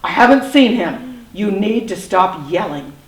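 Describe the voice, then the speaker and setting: A woman voicing a curt, unhelpful sail-backed dinosaur in a storybook tale, loud and scolding. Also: mid-range voice, expressive, unhurried, reverberant, recorded from a distance, close to an everyday voice, low-quality recording.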